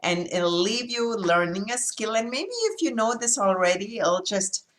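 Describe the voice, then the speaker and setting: A woman moderating a video call class, making measured announcements and introducing the instructor. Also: mid-range voice, expressive, a bit bright, a little hiss or hum. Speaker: event moderator.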